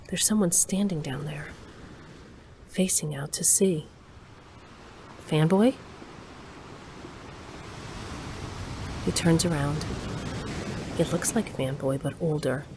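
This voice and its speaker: A woman's voice: deep voice, a little mellow, a little hiss or hum. An actress playing a sardonic, weary older woman scientist who narrates her own story in a dry way. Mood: dreamy. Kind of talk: first-person audio drama narration.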